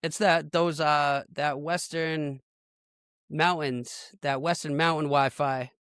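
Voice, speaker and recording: A man's voice: fairly high pitch, steady tone, slow pace, a bit bright, clean tone, no room sound, clean studio sound. An American man chatting casually as a podcast host. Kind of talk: casual chat.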